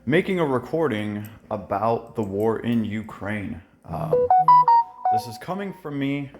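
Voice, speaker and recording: A man's voice: lowish voice, sing-song, measured pace, over loud music. Blunt, swearing Russian-born man in his thirties. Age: 30s. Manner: blunt, heated and profane, with many fillers. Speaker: YouTuber. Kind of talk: casual opinion vlog.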